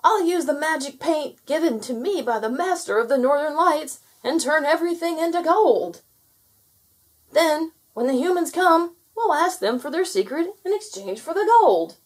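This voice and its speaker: A woman voicing a cold, weary bearded male gnome who shouts and exclaims in a children's story. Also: high voice, expressive, normal speed, pitched above the performer's own voice. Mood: excited, scheming.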